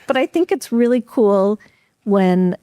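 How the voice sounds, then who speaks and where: A woman's voice: medium pitch, animated, measured pace, warm tone, clear, resonant tone, dry recording, studio quality. A woman talking as a guest on a podcast, explaining her nonprofit's work in an earnest, upbeat way with occasional restarts. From United States. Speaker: executive director of a refugee nonprofit, podcast guest. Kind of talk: conversational podcast interview.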